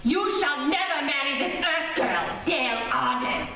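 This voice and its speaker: A haughty, jealous space princess from a radio serial, acted by a woman. She is imperious, fairly high and slow, in a space thick with echo. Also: animated, bright, forceful tone, exaggerated voice. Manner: imperious, deliberate. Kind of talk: old-time radio serial acting. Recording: echoey stage, distant mic, faint noise.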